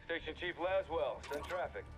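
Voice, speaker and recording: A man playing a brisk military officer on radio comms in a video game. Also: fairly high pitch, animated, music in the background, natural voice. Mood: businesslike.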